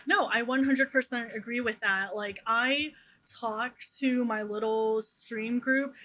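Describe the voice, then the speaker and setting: Bright-voiced young woman hosting a chat. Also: a little high, steady tone, measured pace. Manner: affirming, frequent back-channel agreement. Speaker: podcast host. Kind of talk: casual chat. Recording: faint AC hum behind.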